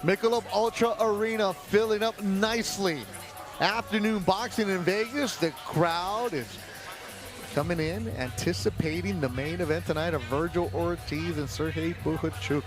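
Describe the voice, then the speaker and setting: A man calling a boxing match play-by-play in a brisk, promotional broadcast manner. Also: sits high, over music. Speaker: play-by-play commentator.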